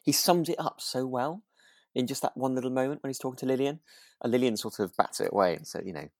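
A man co-hosting a relaxed discussion podcast, reflective and halting as he rephrases his thoughts. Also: medium pitch, no room sound, clean studio sound. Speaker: podcast co-host. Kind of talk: relaxed radio drama discussion chat.

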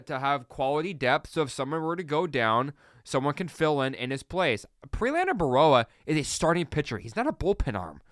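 A man hosting a solo sports podcast, talking fast and excitably with frequent restarts. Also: a little high, no room sound, clean studio sound. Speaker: podcast host.

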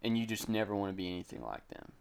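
A man's voice: fairly low pitch, sing-song, very fast, gravelly, darkish tone, clear, resonant tone. A young man co-hosting a casual podcast, loose and swearing freely, often trailing off mid-thought. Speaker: podcast co-host. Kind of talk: casual chat.

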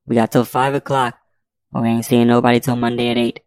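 A woman's voice: very deep voice, flat delivery, fast talker, darkish tone, dry recording. A woman voicing a blustering, blunt, defiant male police chief in an audiobook. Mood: brash.

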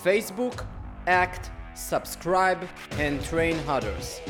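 A podcast host reading an upbeat, scripted promotional outro in an energetic way. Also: male voice, fairly high pitch, takes it slowly. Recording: voice over loud guitar music.

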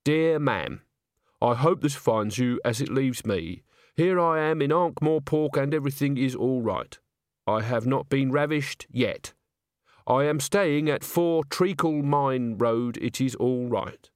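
A man's voice: medium pitch, measured pace, no room sound, studio quality, lightly acted. A man voicing a sensible, earnest and slightly weary young country woman in a storybook reading. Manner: careful, earnest.

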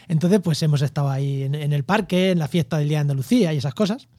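Fast, high-pitched man hosting. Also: mellow, no room sound, studio quality. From Albacete, La Mancha, Spain. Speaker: podcast host. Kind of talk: casual podcast chat.

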